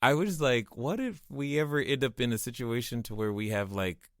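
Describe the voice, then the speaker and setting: A man hosting a podcast, chatting casually and excitedly with his guest. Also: mid-range voice, moderate pace, no room sound, studio quality. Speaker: podcast host.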